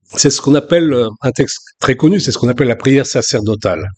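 A man from France in his sixties, preaching a sermon in a relaxed, conversational way with frequent restarts. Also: medium pitch, fast talker. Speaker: pastor.

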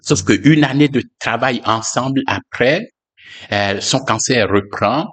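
A man, a pastor, sharing a personal testimony in a reflective, hesitant way with frequent pauses and fillers. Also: medium pitch, a bit bright, slightly rough.

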